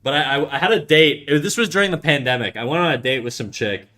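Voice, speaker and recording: A man's voice: a little high, quick pace, pushed and bright. A young man from Canada casually chatting on an art livestream, rambling and swearing, with lots of fillers and restarts. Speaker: art streamer. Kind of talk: casual livestream chat reading chat aloud.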